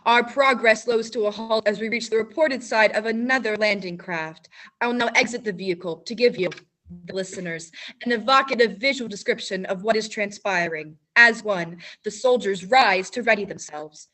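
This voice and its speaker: A woman's voice: mid-range voice, moderate pace, close to an everyday voice. Teen girl as a grandiose war radio reporter. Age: teens. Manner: grandiose broadcaster narration, rising to shouts. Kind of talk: high school stage radio play.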